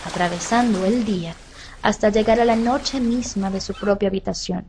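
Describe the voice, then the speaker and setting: A woman narrating a scripted children's story with dramatic pauses and drawn-out phrases. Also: mid-range voice, a little mellow. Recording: faint background noise.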